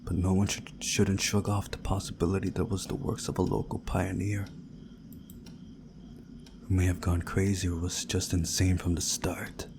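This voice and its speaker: A man reading a visual novel story aloud in a measured, dramatic storytelling way, hushed at times. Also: low voice, little pitch movement, background noise. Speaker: video game playthrough narrator.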